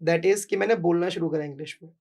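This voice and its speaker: Gravelly-voiced Bihari man coaching. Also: fairly high pitch, fast talker, darkish tone. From Bihar, India. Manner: mixes Hindi and English, emphatic, repeats "right?". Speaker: English coach, guest. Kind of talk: casual podcast interview.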